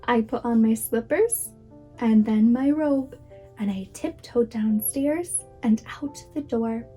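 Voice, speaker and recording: Slow, soft-toned woman reading a storybook. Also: on the high side, breathy edge, faint music behind. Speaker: guest storybook reader, preschool teacher. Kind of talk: children's picture book read-aloud.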